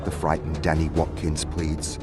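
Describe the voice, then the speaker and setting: Very deep male storyteller over music. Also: little pitch movement, a little slow, a crowd in the background. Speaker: narrator. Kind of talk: scripted dramatic story narration.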